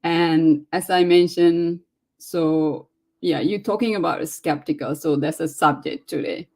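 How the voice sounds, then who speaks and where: Sri Lankan woman, lowish voice, non-native English, emotional and emphatic motivational talk. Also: steady tone, a little slow, mellow. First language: not English. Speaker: guest speaker.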